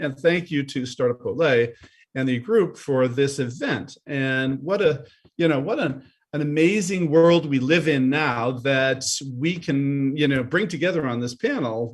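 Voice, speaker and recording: A man speaking as a panelist on a video call, giving a fluent business pitch with some repeated starts. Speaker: venture capital partner, panelist.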